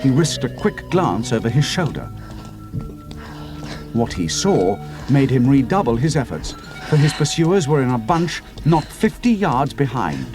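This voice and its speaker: Expressive male audiobook narrator over music. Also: mid-range voice. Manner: slows down for suspense, drops to hushed tones for atmosphere. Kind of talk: dramatic scripted narration. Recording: music score underneath.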